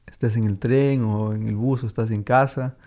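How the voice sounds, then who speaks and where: A man's voice: mid-range voice, steady tone, moderate pace, mellow. A man hosting a podcast in casual chat, rambling with many fillers and easy laughter. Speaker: podcast host.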